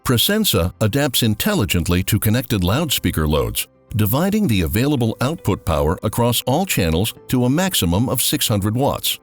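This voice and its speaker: A male narrator reads a corporate explainer script in a fairly low voice. His pitch moves in a lively way over light background music. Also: moderate pace, hardly any pauses. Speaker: promotional video narrator.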